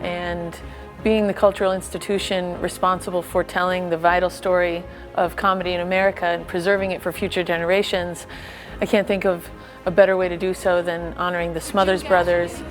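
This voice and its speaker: A woman's voice: fairly low pitch, little pitch movement, a little fast, faint music behind. A woman speaking as a museum spokeswoman, delivering prepared public remarks.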